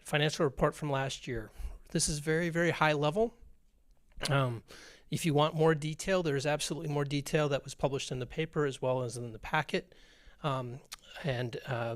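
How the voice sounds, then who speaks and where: A hoarse man, a school district business director giving an informal budget presentation at a public meeting, with many fillers and restarts. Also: medium pitch, dry recording.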